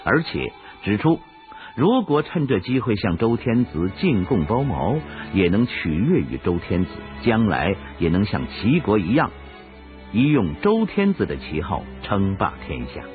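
A man's voice: lowish voice, big pitch swings, measured pace. A man narrating a historical radio drama as a scripted, dramatic storyteller. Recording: dull recording with music behind. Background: music.

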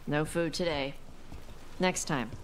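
A woman playing a blunt young horned warrior in a video game, terse and understated, with a gruff manner. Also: deep voice, slow, background noise. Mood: curt.

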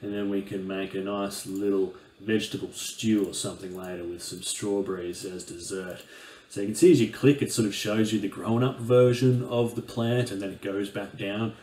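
A man's voice: fairly low pitch, steady tone, moderate pace, a little mellow, echoey room. A man, casually reviewing and playing a game, chatty with many fillers and restarts, at a desk microphone in a small room. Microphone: desk microphone on stand.

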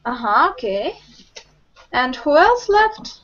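A young woman teaching an online English lesson, asking questions slowly and patiently over a webcam video call. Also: high-pitched, very animated, fairly bright tone. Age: young adult. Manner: slow, patient, encouraging questions. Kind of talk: online group language lesson. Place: home room with bookshelves. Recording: muffled video call audio.

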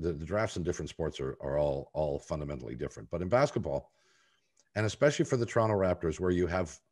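A man in his sixties hosting a sports talk show, speaking in a deliberate, halting way over a video call. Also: low-pitched. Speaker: podcast host. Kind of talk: sports talk, moderating a panel. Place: home office, video call.

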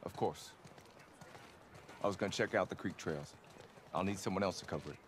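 A man's voice: low voice, fairly quick, a little hiss or hum, close to an everyday voice. An actor playing an easygoing, dependable young patrolman, casual and matter-of-fact, in a naturalistic video game scene. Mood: relaxed.

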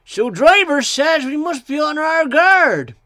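A man's voice: extremely high pitch, very animated, slow pace, bright, forceful tone, no room sound, clean studio sound, voice pushed up, exaggerated voice. A man voicing a confident little storybook engine, explaining things in an emphatic, childlike way. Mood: knowing.

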